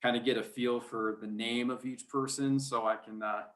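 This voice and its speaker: A man's voice: medium pitch, fairly even intonation, moderate pace, fairly bright tone. A man speaking as an interview guest, a sports announcer telling anecdotes in an easygoing, chatty, self-deprecating way. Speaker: podcast guest, high school sports play-by-play announcer.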